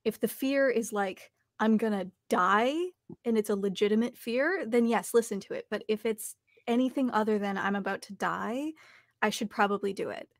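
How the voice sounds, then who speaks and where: Young female, conversational interviewee. Noticeable vocal fry, dry home-studio sound, thoughtful delivery. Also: mid-range voice, moderate pace, no echo. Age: young adult. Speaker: interview guest, audiobook narrator and voice actor.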